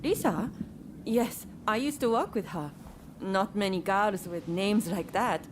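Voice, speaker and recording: A worldly young geisha voiced by an actress in a game drama. She has a medium-pitched voice and a casual, wry way of talking, over faint background ambience. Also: measured pace, background noise, lightly acted. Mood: grateful, curious. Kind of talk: dramatic video game dialogue.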